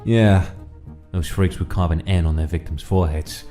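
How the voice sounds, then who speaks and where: A man's voice: sits low, moderate pace, mellow, soft background music, natural voice. A man voicing a tough gangster from the mafia underworld in a comic dub. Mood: matter-of-fact. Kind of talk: comic dub character acting.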